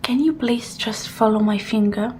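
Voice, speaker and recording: A woman doing an ASMR roleplay as a technician, speaking softly and gently in murmured reassurances and instructions, often slipping into a breathy whisper. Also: on the high side, normal speed, a little hiss or hum. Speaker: ASMR roleplayer playing a technician who examines a robot. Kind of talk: ASMR roleplay, soft personal attention.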